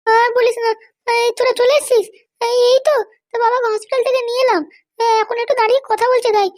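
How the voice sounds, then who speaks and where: নারী অভিনীত খুব চড়া গলার অজুহাত-দেওয়া মা. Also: female voice, very high voice, level tone, fast, a little mellow, no room sound, studio quality, pitched above the performer's own voice. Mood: placating, evasive. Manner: coaxing, excuse-making. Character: evasive, placating middle-aged mother of a grown son. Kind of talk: comedy cartoon dialogue.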